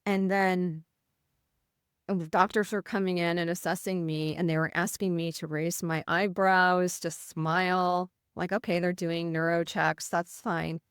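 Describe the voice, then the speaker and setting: A middle-aged woman nurse with a Midwestern American accent, speaking with a voice that can sound slightly rough, telling a personal story in an interview. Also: fairly low pitch, little pitch movement, measured pace, dry recording, clean studio sound. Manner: earnest, vivid retelling with quoted inner thoughts. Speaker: nurse, podcast guest.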